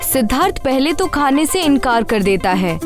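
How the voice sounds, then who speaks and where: A woman narrating a scripted animated tale as a storyteller. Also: sits high, moderate pace, over music.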